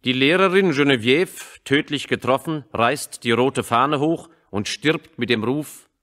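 A man narrating a radio drama from a script in a sober, measured delivery. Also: mid-range voice, fairly bright tone, lightly acted.

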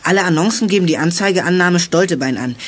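A male actor playing a plucky, boastful, energetic boy detective in a German children's audio drama, reporting with confidence. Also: high-pitched, quick pace, a little hiss or hum, natural voice. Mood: keen.

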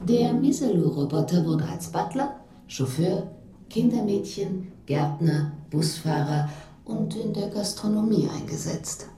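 A woman's voice: mid-range voice, lively intonation, very noisy, natural voice. Woman playing a polite library computer, echoey. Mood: formal, measured. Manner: formal, measured, courteous. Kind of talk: formal information readout in radio drama. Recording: echoey, music behind.

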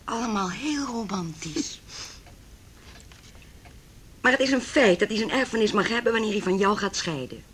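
A woman's voice: mid-range voice, expressive, normal speed, pauses often, a bit bright, slight background noise, close to an everyday voice. An actress playing a cold, wealthy middle-aged mother in a Dutch radio play, speaking in a formal, condescending, cutting way. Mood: calculating. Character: haughty, cold, wealthy mother opposing her son's marriage.

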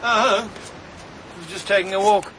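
A man's voice: sits high, fairly even intonation, unhurried, pushed and bright, a little hiss or hum, poor audio. An actor playing a plain-spoken, helpful man in a radio drama. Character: gruff, helpful man Royd.

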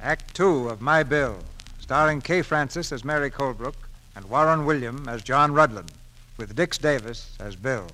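Male narrator for 1940s radio drama, measured storyteller, forceful medium-pitched voice, soft music. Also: rough recording. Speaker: host and narrator.

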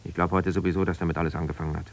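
An actor playing an embittered, ambitious lawyer husband who talks in an argumentative, colloquial way in a naturalistic radio play. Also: male voice, sits low, flat delivery, very fast. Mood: reproachful. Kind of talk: naturalistic radio play, one side of a phone call.

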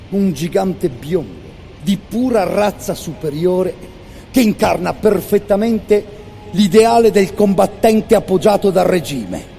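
A man narrating a radio theatre monologue, intense and incantatory, with repeated words and dramatic pauses. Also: sits high, creaky, warm tone. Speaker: actor narrating a stage monologue. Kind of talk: dramatic second-person storytelling, radio theatre. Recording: long reverberant hall sound.

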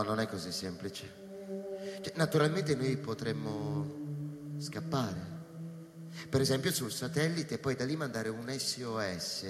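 Cynical, weary scientist, deep reverb. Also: male voice. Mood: bitter, ironic. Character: cynical, weary male space-station scientist. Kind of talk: radio drama dialogue. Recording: reverberant hall with music behind.

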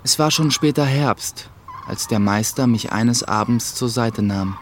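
A man's voice: mid-range voice, a little slow, a little hiss or hum, lightly acted. An actor playing an earnest young apprentice who tells his own story reflectively in a German radio play. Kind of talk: radio play narration and dialogue.

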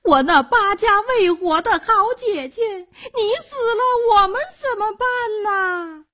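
A woman's voice: very high-pitched, expressive, slow, a bit bright, pitched above the performer's own voice, heavily acted. A woman storyteller playing a brazen, spiteful courtesan with a scornful, taunting, theatrical delivery. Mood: mock wailing.

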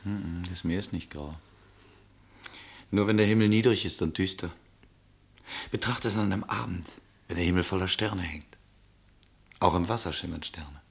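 An actor playing a weary, yearning traveller in a radio drama, with a husky voice and an earnest, poetic delivery. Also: male voice, low-pitched, normal speed, long pauses, exaggerated voice. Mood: tender, fervent. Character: weary, yearning male traveller, ardent and searching. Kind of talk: German radio drama acting.

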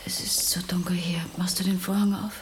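An actress in a German science-fiction radio play, playing a dazed, withdrawn patient in a whispery, detached way. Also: female voice, on the low side, level tone, fairly quick, background noise, lightly acted.

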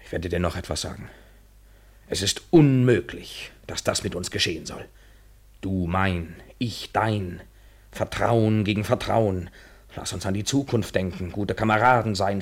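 An actor in a literary German radio play playing a brooding, bitter lover, intense and eloquent, swinging from hushed to vehement. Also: male voice, lowish voice, a little slow, husky. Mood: hushed, anguished. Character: brooding, bitter lover, a man wrestling with himself.

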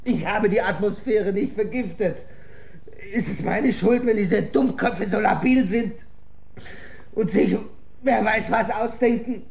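Cowering, creaky high-voiced writer. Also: male voice, a little slow, darkish tone. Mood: self-pitying, defensive. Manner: stammering, evasive, whining. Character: cowering, self-pitying great writer, drunk and afraid. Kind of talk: radio drama acting.

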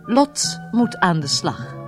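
A woman announces a radio serial from a script. Her voice is on the low side and lively, with brass music clearly behind it. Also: slow.

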